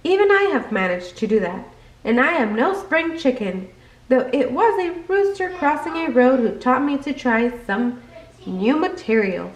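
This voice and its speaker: A woman plays a jokey storybook cow, bold and kind. The female character speaks high and emphatically, with playful energy, in a roomy echo. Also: big pitch swings, normal speed. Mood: encouraging.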